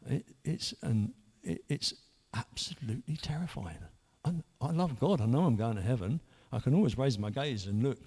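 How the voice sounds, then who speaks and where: A man's voice: medium pitch, lively intonation, normal speed, a little mellow, slightly rough. A man, earnestly telling his own story in a rambling way, full of restarts. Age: 50s. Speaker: church member sharing testimony. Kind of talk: personal testimony.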